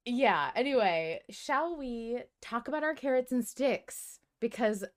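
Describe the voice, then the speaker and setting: A woman's voice: a little high, animated, a little slow. A woman hosting a podcast, chatting casually and thinking aloud with a playful, conversational manner. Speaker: podcast host. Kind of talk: casual fan discussion chat.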